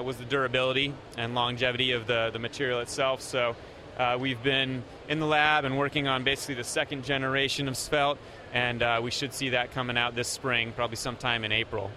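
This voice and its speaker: A man representing a company, explaining product features in a steady way with frequent pauses. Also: medium pitch, a little hiss or hum.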